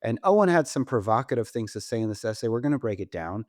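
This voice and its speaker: A man's voice: medium pitch, lively intonation, quick pace, no room sound, clean studio sound. A man hosting a conversational business podcast, speaking emphatically and repeating phrases for effect. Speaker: podcast host.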